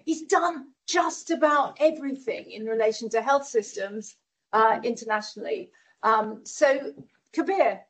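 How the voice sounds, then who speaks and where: Female host with a high voice, halting introduction of a guest speaker. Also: poor audio. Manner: halting, searching for words. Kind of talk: event introduction.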